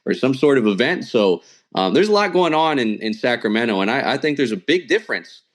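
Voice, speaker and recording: A man's voice: mid-range voice, very animated, a little fast. A man with a mid-range voice speaks as a guest on a sports show. He sounds like an announcer off duty, chatting casually while reasoning through his points in an analytical, conversational manner. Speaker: radio play-by-play sports announcer, podcast guest. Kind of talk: casual sports talk interview.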